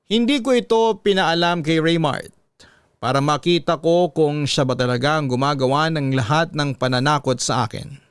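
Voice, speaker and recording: A male host narrates a listener's story in the first person, measured and suspenseful. His voice is smooth and resonant, slightly higher than most men's, and recorded with no room sound at all. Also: dry recording, studio quality.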